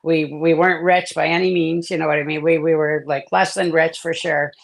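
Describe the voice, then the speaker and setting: A man's voice: fairly high pitch, level tone, brisk, creaky. A man born in South Korea and raised in the US, a guest on a live stream, telling his life story in a casual, rambling way with lots of fillers and restarts.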